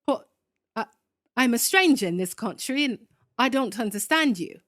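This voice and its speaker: A woman's voice: on the high side, lively intonation, normal speed, fairly bright tone, no room sound, clean studio sound. A woman performing a bewildered English employer in a radio drama, hesitant and stammering. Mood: flustered, pleading.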